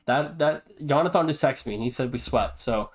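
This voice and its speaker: Male sports podcast host, medium pitch, emphatic delivery, casual news and commentary. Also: a little fast. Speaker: podcast host and league presenter.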